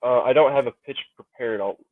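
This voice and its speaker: A man who writes comics, talking in a low-key, monotone way during a casual chat. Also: brisk, slight background noise. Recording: dry, tinny phone-like line.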